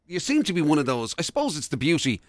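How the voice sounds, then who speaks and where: A man's voice: fairly high pitch, wildly swooping pitch, fast, no room sound, clean studio sound. An Irish man co-hosting a radio show in casual, conversational style.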